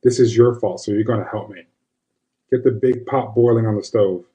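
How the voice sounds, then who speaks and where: A man's voice: fairly low pitch, fairly even intonation, normal speed, mellow, dull audio, close to an everyday voice. A man voicing a no-nonsense older witch grandmother, folksy and commanding, in an audio horror story. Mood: scolding.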